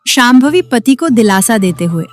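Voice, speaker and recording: Lady narrating a cartoon story, slightly high voice, soft music under it. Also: very animated, moderate pace.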